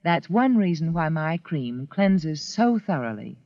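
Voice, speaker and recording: Woman, very lively intonation, emphatic phrasing, scripted old radio commercial, muffled sound. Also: sits low, measured pace. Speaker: advertising spokeswoman.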